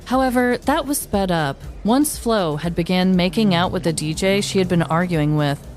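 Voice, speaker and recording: A woman's voice: fairly low pitch, normal speed, darkish tone, faint music behind. A woman podcast host narrating a scripted comedic story.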